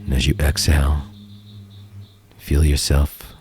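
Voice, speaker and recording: A man guiding a meditation in a soothing, gentle manner, leaving long pauses, with soft, breathy phrase endings. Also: rumbling low, heavy background noise. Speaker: meditation teacher and guide. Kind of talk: guided meditation. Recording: calm music bed behind voice. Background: meditation music.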